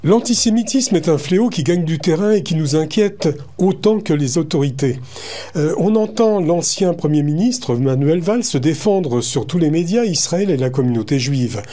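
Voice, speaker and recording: A man hosting a radio interview, putting measured, partly read questions to his guest. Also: a little high, animated, warm tone. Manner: measured, reading prepared lines. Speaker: radio host.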